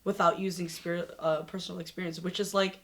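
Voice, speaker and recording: A woman's voice: fairly low pitch, little pitch movement, quick pace. A woman co-hosting a podcast, chatting casually and playfully poking fun at herself. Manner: playful, self-mocking. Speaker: podcast co-host. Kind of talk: casual chat.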